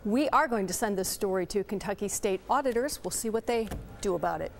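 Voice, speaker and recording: A woman's voice: medium pitch, brisk, gravelly, a little hiss or hum. A woman with an American accent delivering a scripted TV news report as an investigative reporter, with pointed questions.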